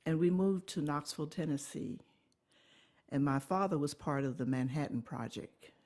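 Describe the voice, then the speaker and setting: A woman, telling her life story in an oral history interview, unhurried and pausing often to correct herself. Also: deep voice, darkish tone. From Alabama, USA. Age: 80s. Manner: unhurried recollection with pauses and self-corrections.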